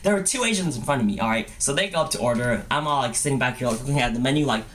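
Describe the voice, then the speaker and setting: A man's voice: medium pitch, lively intonation, very fast, fairly bright tone, a little hiss or hum. A young man telling personal stories in a goofy vlog style, with playful, exaggerated mock-cute phrasing. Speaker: vlogger. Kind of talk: comedic personal storytelling vlog.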